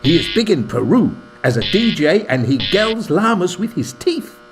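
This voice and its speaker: Man acting an excitable high-voiced radio play character, music behind. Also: moderate pace. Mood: earnest. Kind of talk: comedy radio play, scripted.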